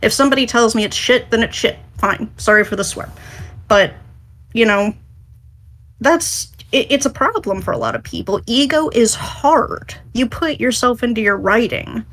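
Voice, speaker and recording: A woman's voice: fairly high pitch, animated, moderate pace, a bit bright. A woman speaking as a writer on a discussion panel, giving frank and earnest, emphatic advice in a conversational way.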